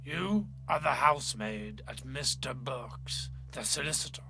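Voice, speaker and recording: A man acting a stern, pompous Irish parish priest in a dramatic story reading, slow and commanding in his delivery. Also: mid-range voice, lively intonation, sharp tone, a little hiss or hum. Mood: stern, cold. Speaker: actor.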